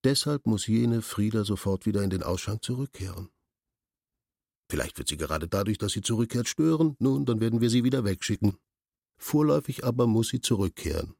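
Radio drama role: pedantic, commanding bureaucrat, lowish voice, very dry close sound. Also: male voice, animated, brisk, gravelly, darkish tone, no room sound. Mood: commanding, dismissive. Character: curt, imperious male senior official giving orders. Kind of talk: German radio drama acting.

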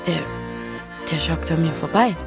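A woman's voice: sits low, a little slow, over music. An actress playing an affectionate, teasing, plain-spoken young woman with a Bavarian accent in a radio drama. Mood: unsettled.

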